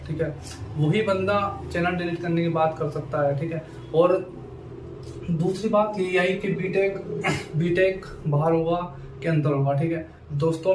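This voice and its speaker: A man's voice: on the high side, a little mellow. A young man talking informally to students as a YouTuber, insistent and repeating himself with frequent verbal tags. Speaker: education YouTuber. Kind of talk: informal talk to camera for students. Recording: roomy echo, distant mic, faint noise.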